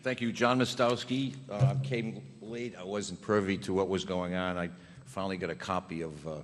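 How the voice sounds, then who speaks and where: A man's voice: low voice, steady tone, normal speed. A retired older man speaking during public comment at a town council meeting, rambling through his credentials and drifting off topic. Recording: echoey hall.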